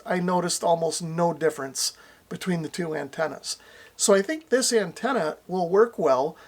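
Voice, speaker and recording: A middle-aged man with an American accent and a notably high voice talks to camera in a small indoor workshop. He gives a casual hands-on review as a radio reviewer.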